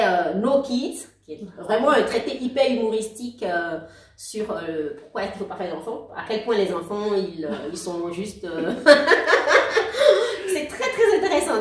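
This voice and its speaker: A woman in her forties speaking as a guest in a casual interview, warm and halting, often restarting and laughing softly. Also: mid-range voice, animated. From outside Belgium. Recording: roomy, distant mic.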